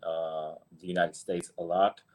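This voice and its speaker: A man's voice: low voice, level tone, unhurried. A man from Israel with an Israeli accent, speaking English as a guest in a casual interview, hesitant with many restarts. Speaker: guest, agency founder.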